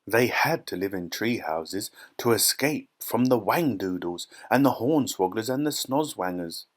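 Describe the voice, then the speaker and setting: An eccentric, excitable factory owner from a children's storybook, acted out by a man. He is exuberant and flamboyant. He shows off like a showman and repeats things for emphasis. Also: mid-range voice, close to an everyday voice. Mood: dramatic relish.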